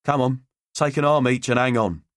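A man voicing a pushy, over-helpful gentleman with a polite, insistent manner in an audiobook. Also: medium pitch, normal speed, no room sound, studio quality, voice pushed up, close to an everyday voice. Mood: officious. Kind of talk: audiobook character voice.

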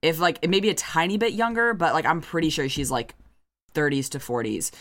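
A woman's voice: low voice, normal speed, fairly bright tone. Bubbly, rambling young woman co-host. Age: young adult. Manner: bubbly, rambling, laughs often. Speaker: podcast co-host. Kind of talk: casual podcast chat.

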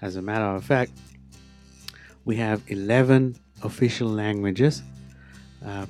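A middle-aged man with a South African accent and a lowish voice, explaining things to children slowly and carefully. He fills his pauses with ums. Faint guitar music plays under him. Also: darkish tone. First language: Afrikaans. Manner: slow, careful, with frequent ums. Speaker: interviewed guest.

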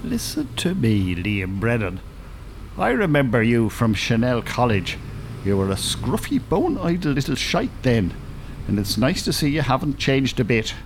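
Older man, Dublin accent, radio drama, dry biting wit, mock-formal wording, animated intonation. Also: mid-range voice, unhurried, background noise. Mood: wry, stern. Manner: wry, wordy, mock-formal.

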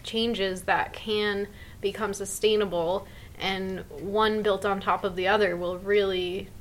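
A woman's voice: mid-range voice, steady tone, takes it slowly, slight background noise, low-quality recording. Earnest woman in her thirties chatting. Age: 30s. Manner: earnest, upbeat, frequent "like". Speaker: podcast co-host. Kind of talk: casual chat.

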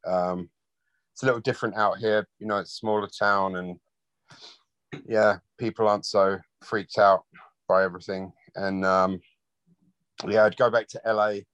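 A man's voice: fairly low pitch, little pitch movement, normal speed, long pauses, fairly bright tone, smooth, no echo, lo-fi sound. A laid-back man from London chatting casually as an interview guest. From London, United Kingdom. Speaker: interview guest, rock bassist. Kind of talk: casual chat.

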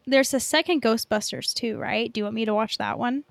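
A woman's voice: a little high, a little fast, gravelly, no echo. A young woman co-hosting a podcast, chatting casually about films in a rambling way full of filler "like". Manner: rambling, peppered with "like". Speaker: podcast co-host. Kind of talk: casual film review chat.

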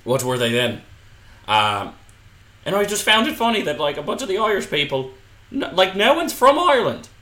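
A man's voice: high-pitched, moderate pace, reverberant. A man co-hosting a podcast, chatting casually about a movie and telling jokes with lots of fillers. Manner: animated, loose joke-telling with fillers. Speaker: podcast co-host.

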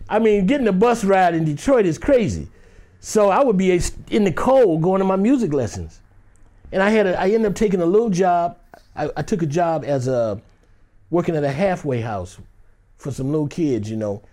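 A man with a high-pitched voice tells a story as an interview guest. He keeps it loose and chatty, with many "you know"s, and slips into the voices of the people in his tale. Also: dark tone. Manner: acts out other people's lines in the story. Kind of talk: animated anecdotal storytelling.

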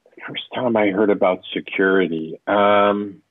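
A man's voice: on the low side, steady tone, unhurried, no room sound. A man in his fifties from Southern California, a tech executive answering interview questions, rambling with many fillers. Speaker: guest, tech company executive. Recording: tinny phone-line sound.

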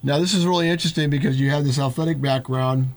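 A man's voice: a little high, steady tone, fast talker. A man hosting a radio interview show, upbeat and repeating his questions as he steers the talk. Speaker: radio host.

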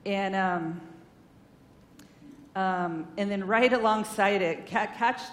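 A woman giving an informal, earnest sermon-style talk at a church, chatty and full of fillers, on a stage microphone. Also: takes it slowly. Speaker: guest speaker at a church, counselor. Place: large hall in a church. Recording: echoey hall, faint rumble.

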